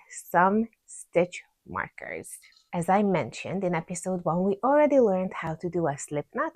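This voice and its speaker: A woman's voice: on the low side, a little mellow, no room sound. A woman with a Hungarian accent teaching crochet step by step, patiently counting stitches aloud. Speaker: crochet instructor. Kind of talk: step-by-step tutorial.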